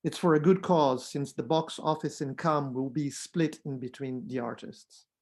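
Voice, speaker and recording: A man's voice: a little high, fairly even intonation, normal speed, darkish tone. Brazilian man hosting an online event. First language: Brazilian Portuguese. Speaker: event producer and host. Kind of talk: scripted event introduction.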